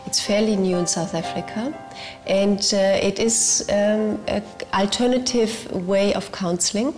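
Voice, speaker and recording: A woman, speaking in an interview about her work as a therapist, pausing often with fillers. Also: medium pitch, steady tone, a little mellow. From Germany. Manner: hesitant, with many fillers. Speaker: interviewee, family constellation facilitator. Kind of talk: reflective interview. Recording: music bed underneath.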